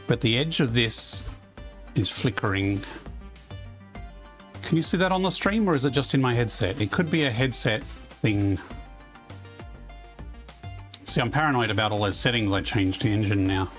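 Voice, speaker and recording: A man with a naturally loud voice, talking in an easy, rambling way while he plays a building game live. His pitch sits at an ordinary middle level, and soft music plays faintly behind him. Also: animated, normal speed, long pauses. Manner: rambling, chatty, reads chat aloud. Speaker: game streamer. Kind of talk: casual live-stream chat while playing.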